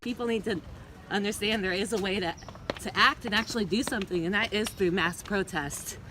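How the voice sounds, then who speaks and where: A woman's voice: mid-range voice, brisk, crowd noise. A woman activist speaking off the cuff in an interview. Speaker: protest activist. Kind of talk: spontaneous interview.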